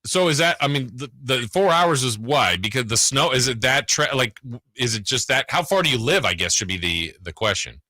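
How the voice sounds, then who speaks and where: Man, medium pitch, bright forceful tone, sports radio host, casual interviewing, dry recording. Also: expressive, normal speed, hardly any pauses, no room sound.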